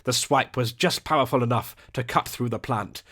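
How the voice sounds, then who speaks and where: A man's voice: mid-range voice, moderate pace, fairly bright tone. A man narrating a scripted audiobook adventure in a theatrical, descriptive storytelling manner.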